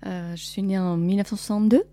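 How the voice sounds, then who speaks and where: A woman's voice: medium pitch, fairly even intonation, fast, mellow. A woman in her fifties from France, recalling her childhood in a plain, matter-of-fact way in a recorded family interview. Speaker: interviewee in a podcast.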